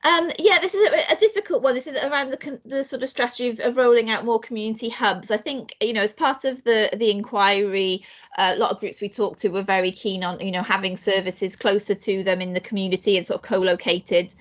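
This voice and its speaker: A woman reports to a council panel over a video call. She restarts sentences often and pads them with fillers. Her pitch sits in the middle range. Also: fairly even intonation, a little fast. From Wales. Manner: hesitant, many restarts and fillers. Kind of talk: online council meeting update.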